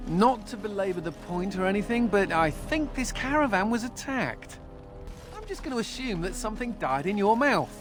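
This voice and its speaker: Flippant mage in reverberant hall. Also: male voice, high-pitched, big pitch swings, normal speed. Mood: sardonic. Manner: sardonic, playful, wordplay. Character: flippant, wry male mage, restless escapee. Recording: reverberant hall with faint music.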